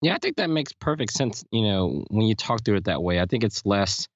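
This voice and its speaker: A man with a lowish voice talks reflectively on a podcast, thinking aloud and leaning on "you know". The sound is dry and close, a little dull and lo-fi. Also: sing-song, no room sound. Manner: reflective, measured, with many "you know" fillers. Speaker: podcast host. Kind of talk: casual panel chat.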